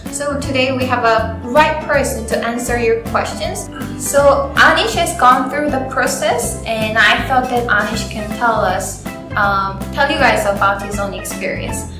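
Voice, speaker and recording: A woman's voice: on the high side, a little slow. A woman hosting an interview, asking questions in a friendly way with short encouraging interjections. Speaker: host. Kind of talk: casual interview. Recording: echoey room with loud music.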